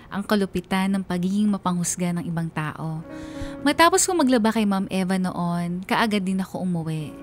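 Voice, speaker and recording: A regretful mother telling her own story in a radio drama, voiced by a woman. She has a medium-pitched voice and an earnest, confessional delivery, with faint music underneath. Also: close to an everyday voice. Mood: sombre, worried. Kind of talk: dramatic first-person radio narration.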